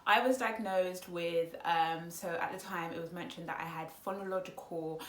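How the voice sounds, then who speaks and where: Young woman from Congo, Lingala first language, lowish voice, reflective vlog talk, fillers and restarts, roomy echo. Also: fairly even intonation, normal speed. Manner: thoughtful, with frequent fillers and restarts. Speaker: psychology student vlogger. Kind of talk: casual reflective monologue.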